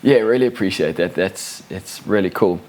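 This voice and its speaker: Husky-voiced man hosting a podcast. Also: deep voice, moderate pace, a little mellow. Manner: chatty, laughing storyteller with many restarts. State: hoarse from a cold. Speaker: podcast host. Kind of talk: casual podcast chat.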